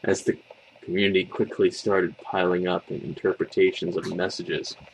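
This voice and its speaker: A man narrates a scripted horror tale in a deep voice with plenty of gravel. His reading is measured and dramatic, with little melodic movement. A faint noise sits behind the voice. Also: flat delivery, low-quality recording. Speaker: creepypasta narrator.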